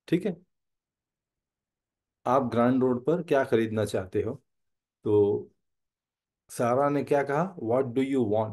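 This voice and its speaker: Mellow-voiced Indian man teaching. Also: mid-range voice. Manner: explanatory, repeats phrases for learners. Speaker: English teacher. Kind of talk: bilingual language lesson, reading example sentences and explaining them.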